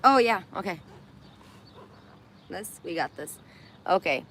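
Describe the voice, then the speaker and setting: A woman's voice: fairly low pitch, lively intonation, takes it slowly, fairly bright tone, a little hiss or hum. A woman hosting a casual live stream, laughing often and losing her voice. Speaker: host of an Instagram live. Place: indoors in Armenia.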